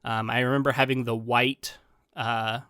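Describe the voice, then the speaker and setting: A man's voice: mid-range voice, moderate pace, smooth, no room sound, clean studio sound. A man co-hosting a podcast, sounding a little congested, chatting casually with lots of ums and restarts. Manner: rambling, animated, frequent ums and restarts. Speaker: podcast co-host.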